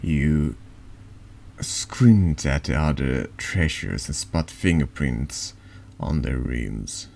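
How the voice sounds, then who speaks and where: A man with a deep voice narrating a horror text game in a deliberate, dramatic ASMR storytelling style. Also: lively intonation, gravelly, a little mellow. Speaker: streamer reading a text adventure game aloud.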